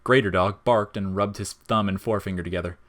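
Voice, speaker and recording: A man's voice: fairly low pitch, steady tone, brisk, a bit bright. A man reading a scripted story as its narrator.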